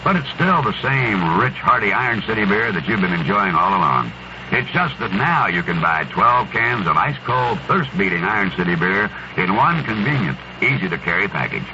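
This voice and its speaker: A chummy, folksy pitchman with a low voice that swings up and down in pitch, selling straight to the listener. The sound is a dull, noisy 1970s radio broadcast. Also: big pitch swings, normal speed. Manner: chummy, addressing the listener directly. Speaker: commercial pitchman. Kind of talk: folksy radio beer advertisement.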